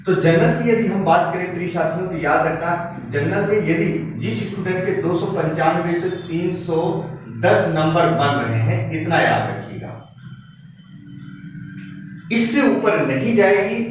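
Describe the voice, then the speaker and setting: A man's voice: sits high, measured pace. A man lecturing like a coaching teacher, explaining figures emphatically and repeating key points to students. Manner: emphatic, repetitive, directly addressing students. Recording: very echoey room, distant muffled mic, noisy.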